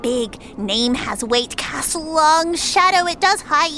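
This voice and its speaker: Hulking simple corpse collector, high squeaky bright voice, broken inverted phrasing, repeated chirpy tic, fantasy audio drama. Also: female voice, lively intonation, slow, a little hiss or hum, exaggerated voice. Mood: matter-of-fact, simple. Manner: clipped, inverted, repetitive broken speech, ending on a chirped tic. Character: large hooded rash-covered corpse collector with odd squeaky voice.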